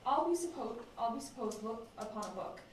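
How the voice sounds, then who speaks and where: A woman's voice: on the high side, lively intonation, fairly quick. A woman student reading old comic stage dialogue aloud in a classroom, picked up away from the microphone. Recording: very echoey room, distant mic, faint noise.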